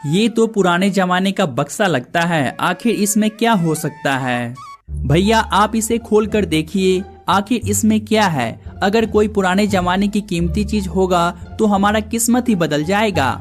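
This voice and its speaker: A man's voice: sits high, unhurried, faint music behind. A man voicing the brothers and villagers of a cartoon story in plain conversational dialogue.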